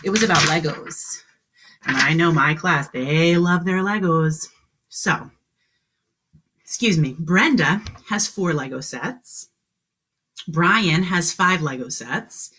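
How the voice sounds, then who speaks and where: Kindergarten teacher lady doing a live lesson, kinda low husky voice, encouraging with the little ones. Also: a bit bright. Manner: encouraging, addresses children as friends, simple questions. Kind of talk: live-streamed lesson for young children.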